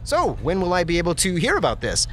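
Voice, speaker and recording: A man's voice: sits high, huge pitch swings, fast. A man playing a goofy pun-loving office guy. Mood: chatty. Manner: joking, chatty, laughs at own puns. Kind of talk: audio drama interview monologue.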